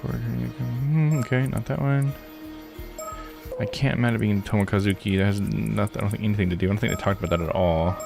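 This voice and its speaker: Creaky-voiced guy musing through a game. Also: lowish voice, steady tone, a little fast, music in the background. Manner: musing, thinks out loud. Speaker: streamer playing a visual novel. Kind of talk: casual live game commentary, reading text aloud.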